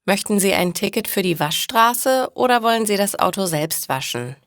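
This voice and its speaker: A woman's voice: medium pitch, animated, normal speed, a bit bright, no room sound, studio quality, voice pushed up. A woman voicing a friendly, easygoing male car-wash owner in a storybook, in inviting dialogue. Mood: polite.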